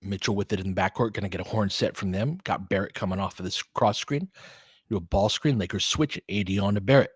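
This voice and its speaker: A man's voice: on the low side, quick pace, breathy edge, no room sound, studio quality. A man giving conversational, partisan basketball play-by-play commentary, reacting openly to the action as it unfolds.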